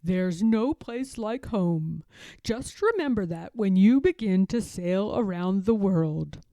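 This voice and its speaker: A woman plays a gentle old grandfather bear in a picture book. He talks slowly and emphatically, in a mellow, close and dry tone, fond and content. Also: medium pitch, animated, no echo. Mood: warm, emphatic. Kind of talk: children's storybook character voice.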